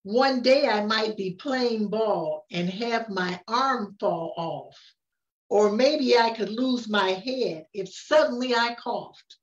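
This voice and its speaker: An older woman reads a rhyming picture book aloud with expressive pauses. Her voice sits fairly high and moves very slowly. The recording is echoey, as if the microphone is some way off in the room. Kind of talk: read-aloud of a rhyming children's story. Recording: roomy, distant, dull-sounding microphone.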